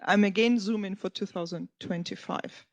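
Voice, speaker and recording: A woman's voice: mid-range voice, steady tone, normal speed, muffled recording. Earnest woman panelist. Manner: earnest, restarts and self-corrections. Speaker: historian and panelist. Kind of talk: conference panel talk.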